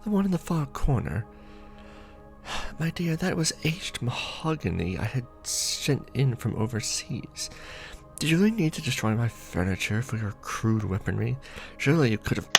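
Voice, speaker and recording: A man playing an ancient vampire lord in an ASMR romantic roleplay, formal and protective, with measured, theatrical diction. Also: medium pitch, very animated, vocal fry, soft background music. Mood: exasperated. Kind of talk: ASMR romantic roleplay, scripted character acting.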